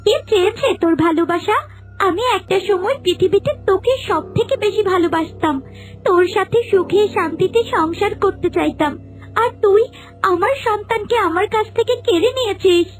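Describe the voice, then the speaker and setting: An extremely high-pitched, venomous serpent maiden, acted by a woman, full of melodramatic menace. She is a scorned wife out for revenge in a cartoon, with music playing behind her. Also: moderate pace. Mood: vengeful, threatening.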